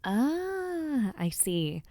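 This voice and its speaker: A woman playing a spirited, playfully impatient young noblewoman who hopes to be a knight, in an expressive audio roleplay spoken straight to the listener. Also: a little high, very slow, no room sound, full character voice. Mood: calm, reflective. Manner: expressive, sighing, genteel wording with teasing asides. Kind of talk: audio roleplay acting, addressing the listener directly.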